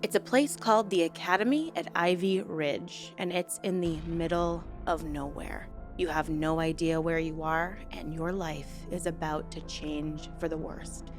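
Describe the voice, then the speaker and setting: A woman's voice: deep voice, fairly even intonation, unhurried, hardly any pauses, faint music behind. A woman hosting a true-crime commentary vlog, stuffed up and nasal from a cold, talking in an earnest, conversational way. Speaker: YouTube host.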